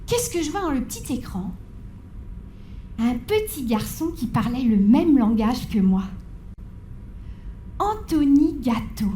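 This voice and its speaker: A woman in her forties tells her story from a stage, her voice pitched high and carrying through an echoey hall. She shifts between dramatic build-up and wry, playful asides to the audience. A faint hum of background noise sits under her words. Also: darkish tone. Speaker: conference speaker.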